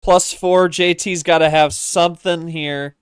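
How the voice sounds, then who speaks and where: Play-by-play commentator, medium pitch, smooth clear tone, excitable delivery, dry close recording. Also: a little slow, dry recording. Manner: excitable, exclaiming. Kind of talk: live sports-style commentary of a card game.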